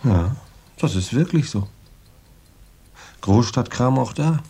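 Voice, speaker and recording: Husky, gravelly boastful ex-boxer. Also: male voice, mid-range voice, expressive, slow, warm tone, full character voice. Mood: chatty, fond. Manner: rambling slangy storytelling, chuckling swagger. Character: boastful tough ex-heavyweight boxer, small-time crime storyteller. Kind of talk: German radio crime drama dialogue.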